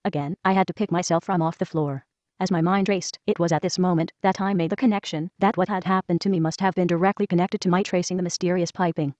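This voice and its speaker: A woman reading a first-person letter aloud in a brisk, even manner. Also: lowish voice, no room sound. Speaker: reader of a whistleblower letter. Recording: dull lo-fi voice track.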